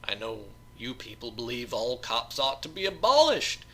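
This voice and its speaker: A man's voice: mid-range voice, sing-song, moderate pace, pushed and bright, breathy edge, heavily acted. A man voicing a cynical prison guard who drawls folksy, mock-reasonable threats in an audiobook. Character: menacing male Southern prison guard, drawling, cynical, bored.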